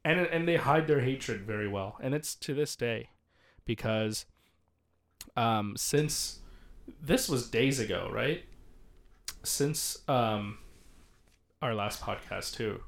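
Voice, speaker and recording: A Canadian man hosting a casual podcast, blunt and animated, swearing freely. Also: mid-range voice, takes it slowly, creaky, pauses often. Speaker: podcast host.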